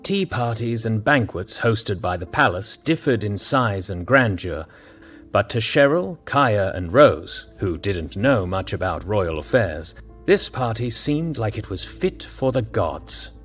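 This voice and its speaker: A man's voice: on the low side, sing-song, measured pace, a bit bright, husky, soft background music. A man narrating a scripted audio drama as a dramatic storyteller.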